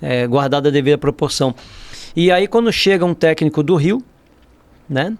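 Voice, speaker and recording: A man's voice: fairly high pitch, moderate pace, no echo. A Brazilian man telling stories casually as a podcast guest, informal and often ending phrases with tag questions. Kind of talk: casual conversational storytelling.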